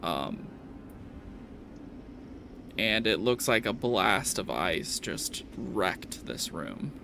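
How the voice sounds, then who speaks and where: A man running a tabletop game as game master, narrating casually in halting phrases. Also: lowish voice, animated, vocal fry, background noise.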